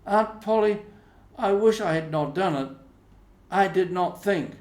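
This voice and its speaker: A man plays an impulsive storybook schoolboy, earnest and dramatic. The voice jumps well up in pitch, with drawn-out emphatic words and a roomy echo. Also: darkish tone. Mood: sheepish pleading.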